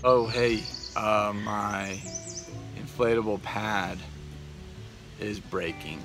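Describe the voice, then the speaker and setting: Gravelly low-voiced young man on the trail. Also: very slow. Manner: laid-back, joking complaints. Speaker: hiking partner. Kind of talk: casual trail vlog. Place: outdoors by a road in green woods. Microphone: her phone camera at arm's length. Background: background music.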